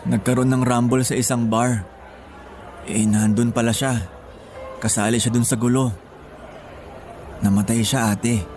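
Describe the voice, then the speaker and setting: A man's voice: mid-range voice, fairly even intonation, unhurried, long pauses, a little mellow, soft background music, close to an everyday voice. Man acting devoted Filipino younger brother. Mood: serious, confiding. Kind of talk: Filipino radio drama acting.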